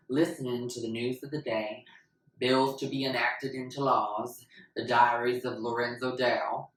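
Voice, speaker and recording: A woman's voice: extremely low pitch, a bit bright. A woman narrating in the style of a dramatic book reading. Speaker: actor narrating. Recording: echoey, distant mic, faint noise.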